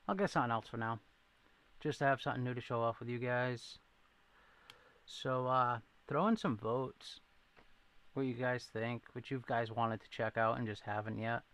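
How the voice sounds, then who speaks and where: A man's voice: mid-range voice, a bit bright. A man from New England with a thin Boston accent, rambling and joking at his own expense as he hosts a casual livestream and answers viewers. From New England, near Rhode Island. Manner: rambling, self-deprecating, reacts to chat. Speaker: livestream host.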